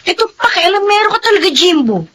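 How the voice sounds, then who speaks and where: An actress playing a sulky teenage daughter in a Filipino radio drama. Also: female voice, very high-pitched, big pitch swings, quick pace, fairly bright tone, slight background noise, exaggerated voice.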